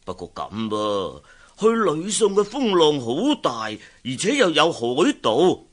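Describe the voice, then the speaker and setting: An actor playing an ageing, fussy merchant uncle in a Cantonese radio drama with a Hong Kong accent, full of sighs and interjections. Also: male voice, a little high, wildly swooping pitch, unhurried, heavily acted. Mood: explaining, fond.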